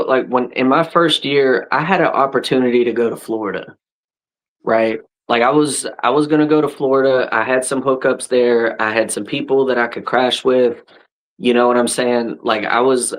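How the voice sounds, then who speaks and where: A man's voice: mid-range voice, rough recording. Laid-back man in his thirties, chatting. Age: 30s. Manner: laid-back, frequent restarts and "right?" tags. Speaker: podcast host and wrestler. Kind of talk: casual chat.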